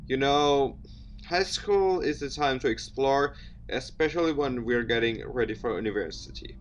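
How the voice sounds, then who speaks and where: A man's voice: medium pitch, moderate pace, dull audio, natural voice. A man playing a wry, self-doubting teenage boy, the protagonist of a visual novel, in a dry and brooding manner. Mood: hesitant.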